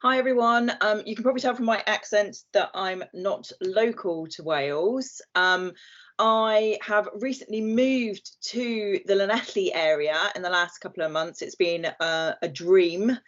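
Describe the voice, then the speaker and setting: A woman's voice: mid-range voice, a little slow, fairly bright tone. A woman from southern England giving a friendly, chatty presentation on a video call, with some fillers. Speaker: guest speaker and company head. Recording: muffled video call audio.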